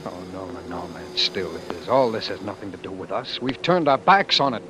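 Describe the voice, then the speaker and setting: A man's voice: low-pitched, lively intonation, a little fast, fairly bright tone. An actor in an old-time radio play, voicing a defiant, bitter man fleeing war, in an emphatic theatrical manner. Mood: bitter, consoling. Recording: noisy old radio broadcast recording.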